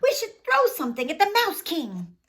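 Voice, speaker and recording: A high, animated little storybook girl, played by a woman reading aloud. She is eager and friendly, with an expressive delivery that swings up and down. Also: moderate pace, heavily acted. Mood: urgent, determined. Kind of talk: children's storybook read-aloud.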